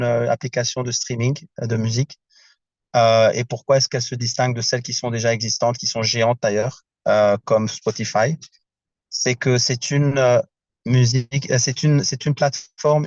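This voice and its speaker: A man speaking from inside a car on a video call, presenting his project in a casual, halting way as he searches for words in a language that is not his first. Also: mid-range voice, darkish tone, no room sound, lo-fi sound.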